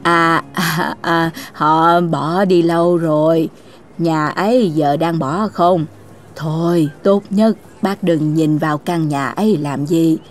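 An actress playing a chatty, cautious older woman in a cartoon, speaking in a folksy, drawn-out way. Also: deep voice, fairly even intonation, faint music behind. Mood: evasive. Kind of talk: cartoon ghost story voice acting.

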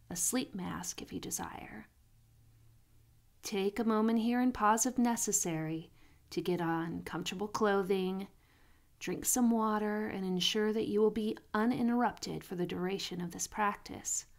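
A woman guiding a sleep meditation in a soothing, unhurried manner, pausing between phrases, with soft, breathy phrase endings. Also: mid-range voice. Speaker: meditation guide.

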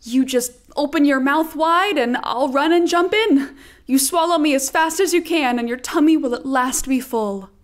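A woman's voice: high voice, moderate pace, natural voice. A woman voicing a clever female sheep who feigns meek helplessness in a children's puppet show, pleading and melodramatic.

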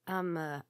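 A woman's voice: lowish voice, no room sound, clean studio sound. A woman playing a flustered, easily embarrassed tsundere roommate in an ASMR roleplay, stammering and defensive but secretly fond. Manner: stammering, defensive, huffy, hesitant.

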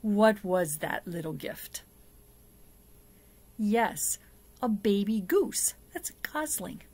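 Middle-aged female children's storyteller, unhurried delivery, medium pitch, expressive and deliberate. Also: breathy edge. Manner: deliberate, asks the listener questions, dramatic hushes and emphasis. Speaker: storyteller for a church children's program. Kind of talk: expressive picture-book reading and talk to young children. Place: small indoor setup before a plain backdrop.

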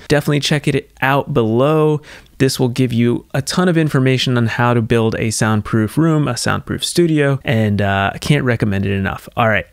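A young man hosting a how-to lesson from his small home studio, speaking into a studio mic on a boom arm, animated and upbeat. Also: creaky, no room sound. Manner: animated, talks with hands, upbeat. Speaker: soundproofing educator, channel host. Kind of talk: energetic how-to explainer to camera. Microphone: studio microphone on boom arm. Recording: dry, close-miked studio sound.

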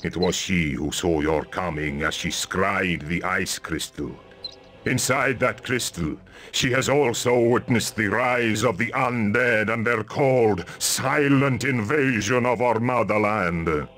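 A man playing a solemn old ice mage, speaking gravely and formally in a fantasy video game. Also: on the low side, takes it slowly, a bit bright, soft background music.

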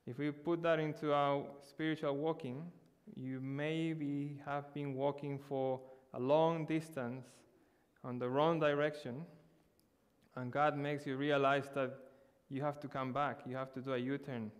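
Earnest preacher in an echoing church. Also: male voice, on the high side, level tone, measured pace. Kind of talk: sermon. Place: church hall.

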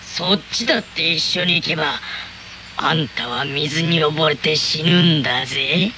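An actor playing a sly, rough-talking male devil in a radio drama. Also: on the high side, measured pace, bright, forceful tone, slight background noise. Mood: scornful. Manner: swaggering, coarse street talk.

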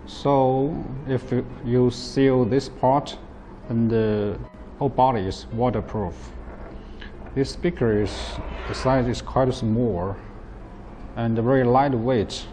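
A man in his thirties, a non-native English speaker, giving a plain, halting, step-by-step product demonstration. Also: mid-range voice, background noise. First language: not English. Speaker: product presenter. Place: indoor office at a table.

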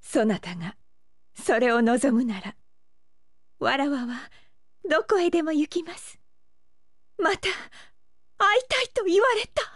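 An imperious anime game pirate empress, high voice, slow regal delivery, really swoopy. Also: female voice, animated, no echo, clean studio sound. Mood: lovestruck. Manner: imperious, archaic regal diction. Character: haughty, lovestruck young pirate empress, imperious beauty. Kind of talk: video game anime character voice acting.

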